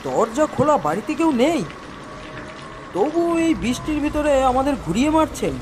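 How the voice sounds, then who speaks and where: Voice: high voice, big pitch swings, moderate pace, noisy background, pitched above the performer's own voice. A storyteller voicing bold, undaunted young men who banter and argue cheekily in a dramatised horror story. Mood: bold, mocking.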